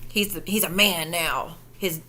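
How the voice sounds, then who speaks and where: A woman's voice: fairly low pitch, slow, pushed and bright, a little hiss or hum. A woman streaming reaction commentary, sarcastic, profane and incredulous.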